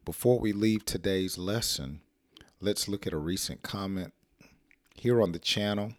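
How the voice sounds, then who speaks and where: American man, hoarse voice, very low pitch, dry close sound, playful teacher. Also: a little slow, no room sound. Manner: playful, acts out short example sentences. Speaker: English teacher. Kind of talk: casual spoken English lesson.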